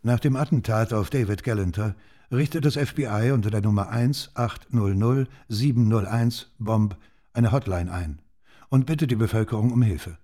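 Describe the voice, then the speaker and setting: A man's voice: fairly low pitch, moderate pace, dark tone. A man reading scripted documentary narration in a sober, matter-of-fact manner.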